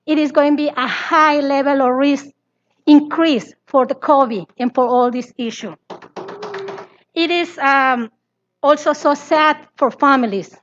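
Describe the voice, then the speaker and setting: A woman with a Spanish accent, a parent giving an earnest, pleading public comment in halting English. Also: high-pitched, lo-fi sound. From Latin America. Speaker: parent speaking at public hearing.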